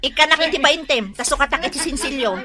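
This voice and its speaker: A woman's voice: sits high, quick pace, crowd noise, close to an everyday voice. A woman acting a gossipy, disapproving canteen server with an Ilocano accent, catty in a radio drama.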